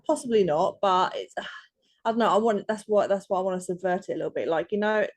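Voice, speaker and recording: A woman's voice: mid-range voice, fairly quick. A woman in her thirties, a guest author talking in an interview, full of ums and false starts. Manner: hesitant, many ums and restarts. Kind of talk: casual interview.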